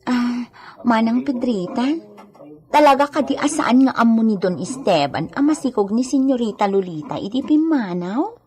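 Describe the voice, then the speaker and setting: A woman's voice: on the high side, expressive, moderate pace, darkish tone, lightly acted. A woman acting an eager, coaxing female helper who tends a sick lady in an Ilocano radio drama. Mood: gossipy. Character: Edita, caring chatty female helper tending a sick lady. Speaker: voice actor.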